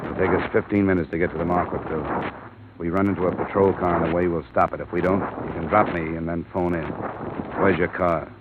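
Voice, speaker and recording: A man playing a terse, world-weary detective in a 1950s radio drama, with a clipped, deadpan delivery. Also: deep voice, fast talker, very noisy, close to an everyday voice. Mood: terse, urgent. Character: hard-boiled male detective, terse, world-weary, steady. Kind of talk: 1950s radio drama acting, first-person narration. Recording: muffled vintage radio recording, music behind.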